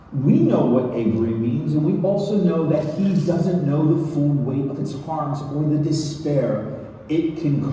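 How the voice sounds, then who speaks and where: Man, earnest dramatic reading, very soft dark tone, slow deliberate pace, roomy theatre, faint noise. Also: on the high side. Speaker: stage performer. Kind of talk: dramatic staged reading of prose. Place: theatre stage. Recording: echoey theatre hall, distant mic, noise.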